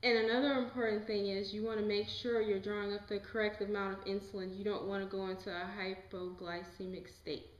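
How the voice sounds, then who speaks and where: A young woman playing a calm nurse in an amateur educational puppet show, explaining things plainly and reassuringly. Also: mid-range voice, brisk, few pauses, highs cut off, close to an everyday voice. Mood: instructive. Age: young adult. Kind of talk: amateur scripted educational dialogue. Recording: echoey amateur room recording.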